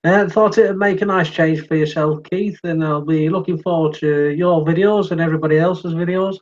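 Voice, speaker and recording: An English man hosting a casual live-stream interview, his voice wearing out and going hoarse. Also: a little high, fairly even intonation, a little fast, a little mellow. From England. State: losing his voice. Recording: dull lo-fi sound.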